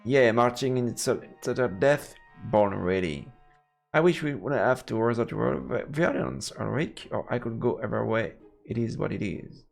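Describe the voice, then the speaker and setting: A man's voice: medium pitch, normal speed, creaky. A man streaming a let's play, reading game text aloud and dropping in playful murmured interjections. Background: game music.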